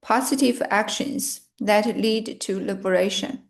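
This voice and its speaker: A woman leading a guided meditation and reciting prayers in a measured, solemn manner, in short phrases with long pauses. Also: medium pitch, steady tone, gravelly.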